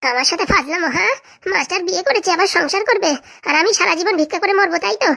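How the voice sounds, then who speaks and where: A high, creaky-voiced jilted wife from a comedy cartoon, played by a woman. She rants fast and abusively, scheming revenge and gloating to herself. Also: sharp tone, full character voice. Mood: furious. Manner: ranting, gloating, talking to herself.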